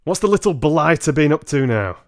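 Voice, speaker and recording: A man's voice: fairly high pitch, very animated, fairly quick, voice pushed up. A man voicing a large, coarse, easily irritated father in a brusque, pompous manner for a storybook. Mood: gruff, impatient.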